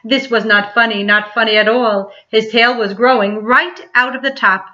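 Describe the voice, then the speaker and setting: A woman's voice: fairly high pitch, fairly even intonation, moderate pace, pushed and bright. A woman reading a children's story aloud in a dramatic, emphatic storytelling manner, like a teacher to a class. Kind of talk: expressive picture-book read-aloud. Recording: dull lo-fi sound.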